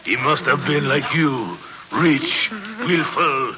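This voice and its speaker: An actor playing a crazed, macabre old sewer bone-carver with a French accent, theatrical and wheedling, in old-time radio horror style. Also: male voice, medium pitch, slow, crowd noise, lightly acted. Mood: gleeful. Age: older. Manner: theatrical, wheedling, punctuated with questioning tags. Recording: noisy, hissy, muffled old radio recording.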